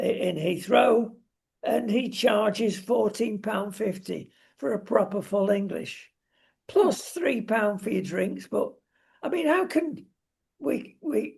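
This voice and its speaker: A talk show presenter with a gravelly, husky voice, giving wry, opinionated takes in conversational talk about current affairs. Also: medium pitch, a little mellow.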